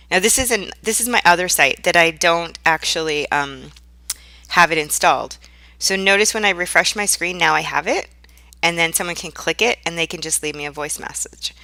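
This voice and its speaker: A woman presenting a software tutorial in an informal, conversational way, checking in with the listener as she guides them step by step. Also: fairly low pitch, no room sound. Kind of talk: casual screen-share software tutorial.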